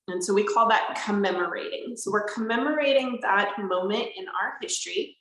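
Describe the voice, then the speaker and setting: A woman's voice: medium pitch, a bit bright. Woman guiding an online lesson in an echoey room. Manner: friendly, explains simply, answers viewer questions. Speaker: park interpreter. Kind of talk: educational talk for students. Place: indoors in a large historic barracks building.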